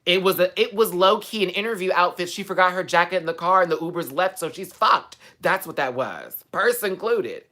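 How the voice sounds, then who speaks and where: A man's voice: sits high, animated, fairly quick, few pauses, bright, forceful tone. A man from Texas hosting a live chat, giving sassy, profane, gossipy commentary on a reality TV show. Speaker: host.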